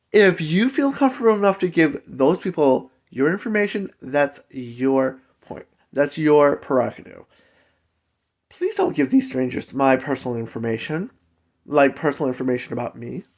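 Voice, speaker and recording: Chatty higher-voiced man telling a personal story. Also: animated, normal speed. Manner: chatty, conversational, emphatic asides. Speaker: storytelling vlogger. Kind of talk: casual personal story time.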